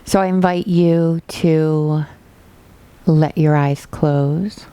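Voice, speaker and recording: A woman teaching a guided body scan meditation, giving gentle, unhurried instructions with pauses. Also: sits low, fairly even intonation, clean tone.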